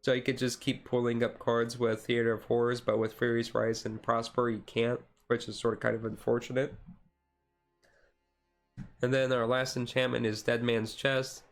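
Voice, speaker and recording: Casual, halting man on a chill stream. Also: mid-range voice, monotone. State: voice tiring. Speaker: streamer, card game hobbyist. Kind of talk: casual deck walkthrough, chill ASMR stream.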